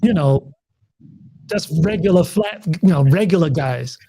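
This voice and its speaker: A man's voice: on the high side, lively intonation, a little slow, mellow. A man hosting a casual talk, hesitant and searching for words, who often restarts his sentences. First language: not Taiwanese, lives in Taiwan. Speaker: podcast host. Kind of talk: casual conversation.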